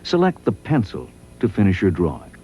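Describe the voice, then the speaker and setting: A man reading scripted promotional training narration in a measured, instructional way. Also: on the low side, very animated, dark tone, a little hiss or hum.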